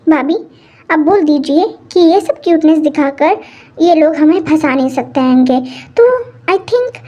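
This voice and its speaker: Woman voicing a strict mother, sulky and indignant, mellow tone. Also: sits high, animated, measured pace. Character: strict sulking mother, stubborn.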